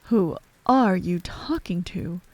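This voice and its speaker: A woman's voice: on the low side, slow, darkish tone, no room sound. Storybook king, played by a woman: pompous, fussy and imperious, haughty and emphatic in delivery. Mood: suspicious.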